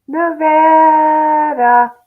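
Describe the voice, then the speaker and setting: Woman with a slightly high voice, takes her time listing stuff off, muffled sound. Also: steady tone, faint music behind. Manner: unhurried, recites lists from memory. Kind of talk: casual chat.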